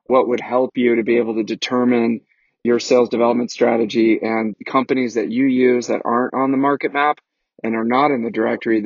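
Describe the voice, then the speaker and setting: A man delivering a casual, energetic promotional announcement for his show. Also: medium pitch, vocal fry, dry recording, lo-fi sound.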